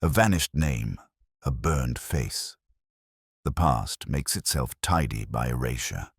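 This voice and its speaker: Male narrator for detective fiction: extremely low voice, lively intonation, measured literary delivery, close dry sound. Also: creaky, slightly rough, no room sound. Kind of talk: scripted first-person detective story narration.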